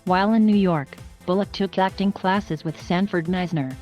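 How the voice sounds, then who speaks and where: A woman reading a scripted biography narration in an even, flat manner. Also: fairly low pitch, normal speed, muffled recording. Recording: faint music underneath.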